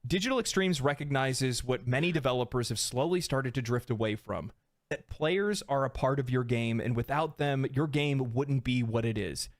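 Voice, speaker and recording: A man's voice: medium pitch, normal speed, no echo, clean studio sound. A man delivering scripted video essay narration about games in an earnest, emphatic way.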